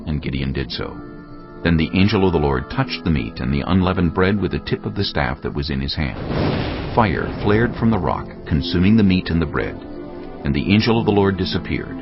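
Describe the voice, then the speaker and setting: A man's voice: sits low, animated, fairly quick. A man narrating a dramatized reading of scripture as a scripted storyteller. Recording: muffled audio with faint music.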